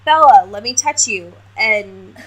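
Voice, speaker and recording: A woman with a high, very bright voice co-hosts a casual recap podcast. She speaks at an unhurried pace, with plenty of slang, swearing and emphasis. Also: lively intonation, poor audio. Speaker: podcast co-host. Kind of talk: casual book-recap chat.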